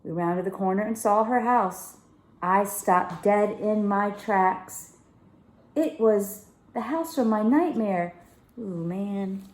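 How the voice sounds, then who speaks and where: A woman reading a children's novel aloud with expressive narration. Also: mid-range voice, slow, echoey room. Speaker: story reader.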